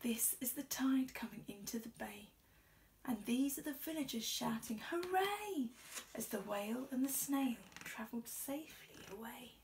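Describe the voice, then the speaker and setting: A young woman reading a rhyming children's picture book aloud expressively, with measured, careful storytelling. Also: a little high, vocal fry, a little mellow, recorded from a distance, slight background noise, poor audio. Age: young adult. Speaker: storybook reader. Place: small indoor room. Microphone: camera a short distance away.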